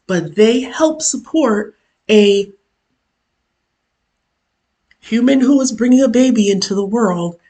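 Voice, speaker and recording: A woman with a hoarse, worn-out voice, casually chatting as host of her own live stream during a work lunch break, with chatty self-deprecating asides. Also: medium pitch, darkish tone. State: hoarse, lost voice from illness. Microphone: camera mic.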